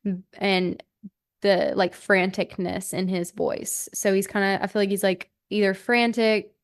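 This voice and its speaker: A woman's voice: medium pitch, flat delivery, measured pace, clean tone. A woman hosting a true-crime podcast, telling the story in a casual, conversational way peppered with "like". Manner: informal, hedging, filler-heavy with "like".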